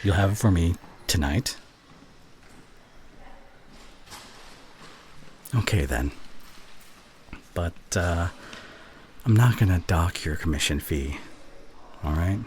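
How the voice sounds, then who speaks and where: A man playing an exasperated, teasing college student in an ASMR roleplay, with a halting, sighing, sarcastic delivery. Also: low voice, a little mellow. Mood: indignant, teasing, then exasperated, fondly teasing. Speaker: audio roleplay voice actor. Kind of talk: ASMR roleplay acting, one side of a conversation. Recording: clear background ambience noise.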